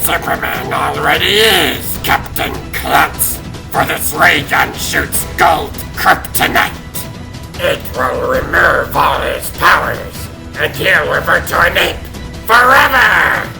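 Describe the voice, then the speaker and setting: A man playing a pompous, theatrical starfish alien conqueror in a comic dub, gloating in a gravelly voice over music. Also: a little high, takes it slowly, heavily acted. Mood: gloating, menacing.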